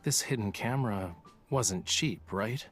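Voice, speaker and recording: Teasing young artist type from a game, dry and drawly, faint music behind. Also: male voice, on the low side, lively intonation, a little slow. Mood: cool, teasing. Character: cool, teasing, unruffled young male artist. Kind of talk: English game voice acting.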